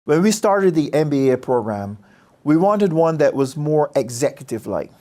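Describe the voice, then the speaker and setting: Guy, dean, earnest and persuasive, voice sits a bit high. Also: sing-song, normal speed, mellow. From Barbados. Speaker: business school dean. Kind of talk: promotional interview talk.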